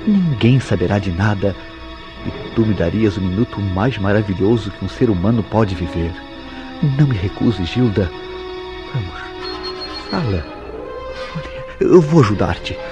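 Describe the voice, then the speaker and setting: An actor playing a jealous, tormented lover in a radio soap opera, accusatory and declamatory, with formal diction and a Brazilian accent. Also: male voice, lowish voice, animated, unhurried, pauses often. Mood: pleading, insistent. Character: jealous, tormented male lover interrogating his beloved. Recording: radio drama with prominent background music.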